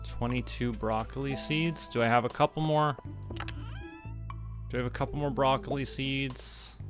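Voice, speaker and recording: A man from Canada doing casual gameplay commentary, thinking aloud to himself in short, unhurried remarks. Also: medium pitch, fairly even intonation. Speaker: livestreamer playing a farming game. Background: game music.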